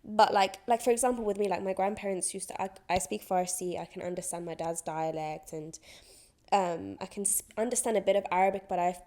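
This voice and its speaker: A woman from Iran in her twenties or thirties, co-hosting a podcast, talking in a casual, animated way with many fillers and laughs. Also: medium pitch, brisk. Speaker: podcast co-host. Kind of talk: casual chat.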